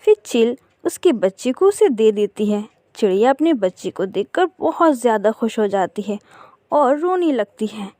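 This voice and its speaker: A woman's voice: on the high side, very animated, normal speed, dark tone, no room sound. A woman narrating a children's cartoon story as a storyteller.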